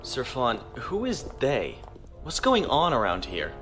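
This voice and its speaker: A man's voice: mid-range voice, wildly swooping pitch, unhurried, fairly bright tone, soft background music. A young man playing an earnest, deferential navy lieutenant who gets flustered and stammers. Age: young adult.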